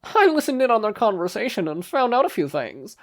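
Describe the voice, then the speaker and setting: A storybook spy with a French accent , acted out by a man. He reports in a raised, high voice with a smug informant's manner. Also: big pitch swings, brisk. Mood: eager, reporting.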